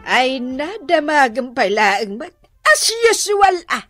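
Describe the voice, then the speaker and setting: A high-voiced, scheming woman with an Ilocano accent, effusive and exaggerated. Her lines swoop widely and stretch out slowly, in broad radio comedy drama style. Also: very animated, music in the background, full character voice. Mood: defiant, boastful. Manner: exaggerated, drawn-out, giggly delivery. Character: scheming, effusive woman borrower, fond of gambling.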